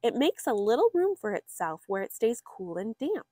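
A woman reading a children's nature picture book aloud with expressive, drawn-out emphasis for young listeners. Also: a little high, no room sound, studio quality. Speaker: story reader for children.

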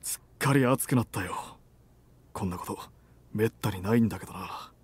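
A man in his thirties playing a suave, assertive company president and boyfriend in an intimate romance audio drama, soft and breathy, gentle yet commanding. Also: lowish voice, normal speed, no room sound. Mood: relaxed, affectionate. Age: 30s.

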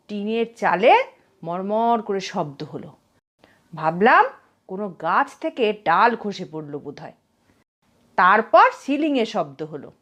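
Woman playing a feisty old aunt, animated swings. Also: on the high side, highs cut off. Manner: colloquial, exclaiming, dramatic rhetorical questions. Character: older widowed aunt, plain-spoken, fearless, indignant, reminiscing.

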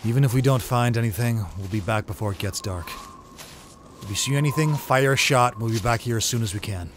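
A man's voice: mid-range voice, brisk, slight background noise, natural voice. A man voicing a tense, decisive survivor leader and protective father in a comic dub. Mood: steady, concerned. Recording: loud music bed under the voice.

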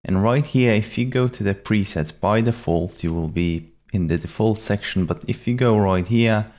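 A young adult man explaining software step by step in a measured, instructional way. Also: fairly low pitch. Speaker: tutorial presenter. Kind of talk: step-by-step software tutorial narration. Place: small indoor room at a computer.

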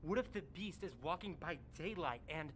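A man's voice: on the high side, animated, moderate pace, fairly bright tone, a little hiss or hum. A man playing a frightened, reluctant villager in a radio drama, nervous and stammering. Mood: fearful.

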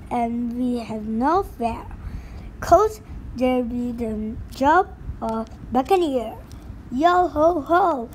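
A blustering storybook pirate captain who now drives a bus, put on by a woman reading aloud. The voice sits high, with a slow, drawn-out delivery thick with pirate slang and growled arrs. It is boastful on top and easily rattled underneath. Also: a little hiss or hum, exaggerated voice, rough recording.

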